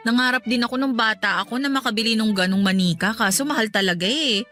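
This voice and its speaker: A woman's voice: a little high, quick pace, bright, forceful tone, faint music behind. A woman playing a playful but unsure working woman in a conversational radio drama scene. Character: hesitant working woman, playful but worried about spending.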